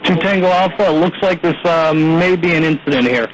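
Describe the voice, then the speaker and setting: A man's voice: a little high, normal speed, rough recording. An American man working as an air traffic controller, giving procedural traffic advisories over aviation radio.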